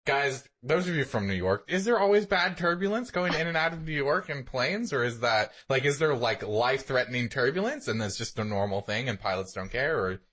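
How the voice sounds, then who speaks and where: A man with a mid-pitched voice that swings widely up and down. He chats casually as a podcast co-host, joking and exaggerating his reactions with mock quotes. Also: expressive, brisk, few pauses, fairly bright tone. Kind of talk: casual comedic chat.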